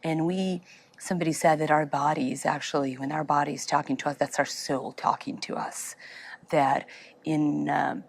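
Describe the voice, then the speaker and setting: A woman from Serbia, a therapist answering interview questions, halting and searching for words. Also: low voice, fairly even intonation, vocal fry. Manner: speaks with a foreign accent, halting, searching for words. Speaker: therapist guest.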